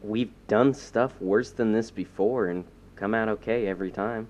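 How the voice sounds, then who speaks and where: Low-voiced, earnest young hero played by a man. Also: darkish tone, lightly acted. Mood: reassuring, teasing. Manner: halting, informal, sincere. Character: earnest young male self-styled superhero, awkwardly affectionate. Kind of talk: naturalistic audio drama dialogue. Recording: slightly dull, muffled recording.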